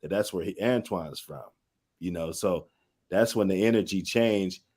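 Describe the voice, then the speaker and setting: Low-pitched man, rambling casual talk. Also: normal speed, darkish tone. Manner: loose, rambling explanations with restarts. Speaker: host reacting to clips. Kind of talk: casual reaction chat.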